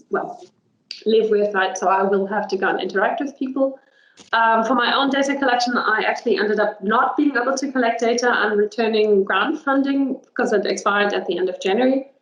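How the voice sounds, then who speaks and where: A young woman, a linguist whose first language is German, giving a webinar talk with many ums and a frequent "right?". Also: mid-range voice. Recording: roomy, dull video call audio.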